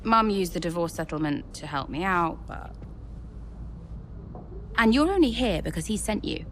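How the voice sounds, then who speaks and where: A woman's voice: on the low side, expressive, moderate pace, a little hiss or hum, close to an everyday voice. An actress playing a guarded, frustrated, determined young female racing driver in a naturalistic game cutscene. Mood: guarded, bitter.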